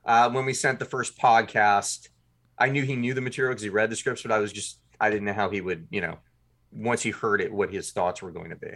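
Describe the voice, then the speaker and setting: Low-voiced man chatting in an interview. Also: fairly even intonation, a little fast, a bit bright. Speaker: podcast writer-producer being interviewed. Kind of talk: casual interview chat.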